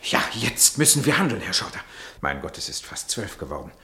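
A man playing an earnest, bewildered gentleman in a German radio play, urgent and exasperated in his delivery. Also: fairly low pitch, sing-song, brisk, in a whisper, heavily acted.

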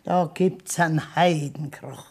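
Old Bavarian farm grandmother, blunt, scolding, unhurried, radio drama. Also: sits low, steady tone, a little mellow, husky, full character voice. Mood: worried, reproachful. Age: older. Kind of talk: radio drama acting in dialect.

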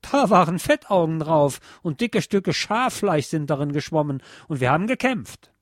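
A man's voice: fairly high pitch, big pitch swings, normal speed, no echo, studio quality, pitched above the performer's own voice. A man mockingly voicing a pitiful, ragged war veteran who begs and boasts in a whining manner. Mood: mock pitiful. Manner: whining, stammering beggar's boast.

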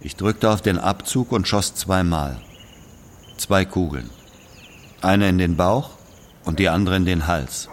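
A man playing an aging Algerian who confesses an old killing in a brooding, deliberate literary monologue. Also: deep voice, noisy background. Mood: grave, confessing. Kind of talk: literary monologue, dramatic audio narration.

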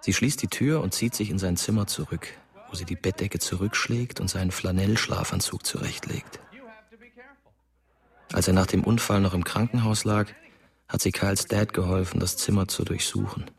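An actor as the sober storyteller of a German crime radio drama, giving scripted third-person narration. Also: male voice, low-pitched, steady tone, moderate pace, creaky.

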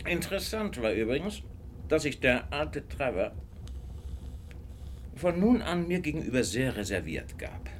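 Slow, expressive detective storyteller. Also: male voice, mid-range voice, a little hiss or hum. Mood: reflective. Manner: deliberate, reflective storytelling. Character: male detective recounting an old case, deliberate, wry. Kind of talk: German radio drama narration.

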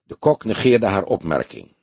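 A man narrating a detective novel as an audiobook in an expressive, scripted reading style. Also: fairly low pitch, normal speed, gravelly, breathy edge, no echo.

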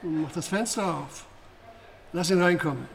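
An actor playing a calm, patient, mildly paternal police chief in a German radio crime drama, speaking in a measured, reassuring way. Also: male voice, a little high, creaky, a little hiss or hum. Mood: calm, official.

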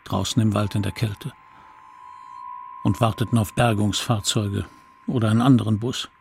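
A man playing a middle-aged narrator who wistfully recalls his childhood, measured and sombre, in a radio drama. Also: lowish voice, steady tone, gravelly, a little mellow, a little hiss or hum. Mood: reflective. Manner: measured, reflective, sombre storytelling.

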